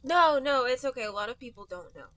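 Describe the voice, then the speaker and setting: A woman's voice: high voice, animated, fast talker, pushed and bright, dry recording. A woman co-hosting a comedy podcast with casual, joking chat. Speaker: podcast co-host.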